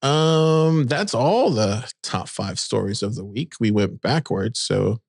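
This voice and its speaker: A man co-hosting a casual podcast, reading posts aloud and chatting in a relaxed, rambling way. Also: medium pitch, slow pace, creaky, no room sound, clean studio sound. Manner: relaxed, rambling, reads quotes aloud with fillers. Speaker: podcast co-host. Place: cold room by a window.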